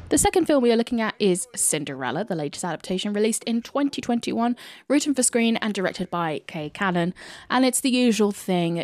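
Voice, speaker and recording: A woman's voice: lowish voice, a little mellow, no echo. British woman reviewing films, dry close sound. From United Kingdom. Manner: opinionated, chatty. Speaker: film podcast host. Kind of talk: conversational film review.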